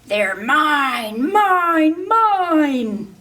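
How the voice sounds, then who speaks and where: A woman brings to life a grabby young male dinosaur from a picture book. He speaks in high, swooping, sing-song rhymes, taking his time. The voice sits well back from the microphone. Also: big pitch swings, drawn-out words, bright, forceful tone, recorded from a distance, exaggerated voice. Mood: greedy. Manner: sing-song rhyming lines.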